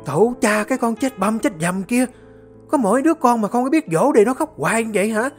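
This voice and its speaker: A woman doing a shrill, cruel old mother-in-law. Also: expressive, a little fast, faint music behind, lightly acted. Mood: scolding. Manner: screeching, insulting tirades. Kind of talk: audio story reading.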